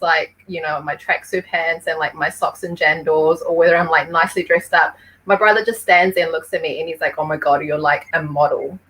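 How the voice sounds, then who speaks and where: A woman's voice: fairly low pitch, sharp tone, rough recording. A woman from Peru, a podcast guest, talking casually with lots of fillers. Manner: chatty, many fillers, laughs easily.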